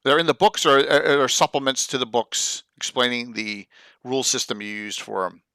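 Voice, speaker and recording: A man, his voice bright and forceful with a smooth, crisp finish. He talks in an upbeat way, tossing in quick asides. The sound is dry and close. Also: medium pitch, fairly even intonation, dry recording. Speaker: podcast host. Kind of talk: casual video-call chat. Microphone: video call.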